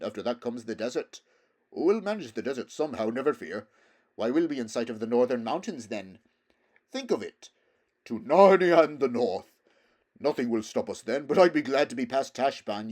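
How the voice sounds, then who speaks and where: A man's voice: medium pitch, expressive, normal speed, a little mellow, lightly acted. A man voicing a proud, worldly talking horse in a storybook, with a pompous, emphatic, lecturing manner. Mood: confident. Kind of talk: storybook character voice.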